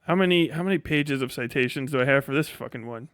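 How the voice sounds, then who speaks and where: A man's voice: mid-range voice, quick pace, a bit bright, clear, resonant tone, no echo, clean studio sound. A man chatting casually on a livestream about his work, rambling and swearing heavily in a sardonic, exasperated way. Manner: heavily profane. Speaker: livestreamer and video creator giving a work update.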